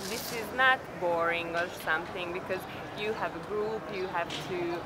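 A woman's voice: lowish voice, normal speed. A Hungarian woman speaking English with a Hungarian accent, chatting casually and hesitantly, searching for words. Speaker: woman approached in the street. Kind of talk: casual chat. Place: outdoors in a busy city square. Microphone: far hidden camera. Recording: loud street noise, distant camera.

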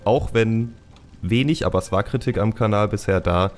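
A man with a low-pitched voice hosts a casual podcast conversation. He talks in a candid, colloquial way and often repeats words for emphasis. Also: moderate pace. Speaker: podcast host and YouTuber.

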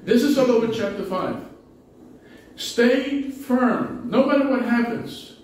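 A middle-aged pastor with a Spanish accent and a high voice, preaching in a loose, conversational way with many restarts. He is picked up from a distance in a strongly echoing small church hall, with faint background hiss. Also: lively intonation, takes it slowly, a little mellow. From Spanish-speaking country. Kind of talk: sermon. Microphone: pulpit mic, far camera.

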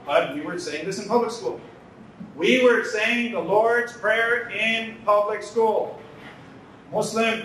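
A man's voice: high voice, a bit bright, a little hiss or hum. A Canadian man from Toronto giving an earnest conference talk at a podium, repeating key lines for emphasis. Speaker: conference speaker. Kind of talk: lecture at a podium. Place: large banquet hall, indoors. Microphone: podium mic from a distance. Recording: echoey hall, mic some distance off.

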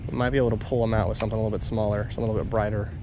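A man's voice: lowish voice, level tone, very fast. A young man casually narrating a fishing trip from a small boat on a lake, talking in a laid-back way. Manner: laid-back, says "dude" and "bro". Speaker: fishing vlogger. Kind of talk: casual on-the-water commentary. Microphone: action camera on the boat. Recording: loud wind and boat noise outdoors.

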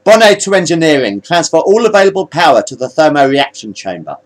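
A man's voice: on the high side, very animated, fairly quick, breathy edge, voice pushed up. Guy playing a defiant blue alien first officer, firm clipped commands, lots of up and down in the voice. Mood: forceful, threatening.

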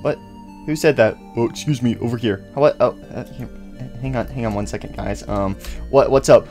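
A man's voice: mid-range voice, a little fast, darkish tone. A man hosting a casual game mod review video. He talks upbeat and rambling, full of "uh" and "so, yeah" fillers. A little music hums faintly behind him. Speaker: YouTuber host.

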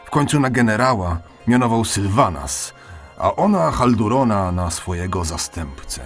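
Man playing a deep-voiced old elf captain. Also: big pitch swings, normal speed, faint music behind. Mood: wistful, resolute. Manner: authoritative, melancholy. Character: weary, proud old male elf veteran captain. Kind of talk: Polish fantasy audio drama.

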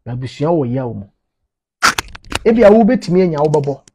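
A man's voice: a little high, very animated, fairly quick, highs cut off, background noise. A man in his thirties with a Ghanaian accent, giving informal commentary to camera and emphatically repeating his phrases. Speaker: media commentator. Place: small indoor office.

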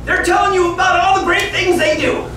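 Guy teaching kids church, really high voice, acts out the story, recorded far off with some room noise. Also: steady tone, a little fast, crowd noise. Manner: theatrical, acts out dialogue, plain simple wording. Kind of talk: animated Bible storytelling to children. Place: small classroom indoors. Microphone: camera several metres away. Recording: roomy echo, distant camera mic, room noise.

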